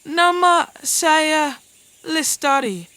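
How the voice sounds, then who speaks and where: A woman's voice: high-pitched, drawn-out words, pushed and bright, exaggerated voice. A woman playing a weak, feverish little girl in an audio story. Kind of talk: full-cast audio story acting.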